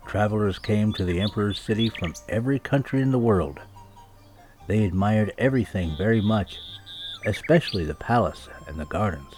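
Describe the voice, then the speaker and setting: A man narrating a children's story with expressive, scripted storytelling delivery. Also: fairly low pitch, moderate pace. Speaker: storybook reader. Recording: music laid behind the voice. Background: music and sound effects.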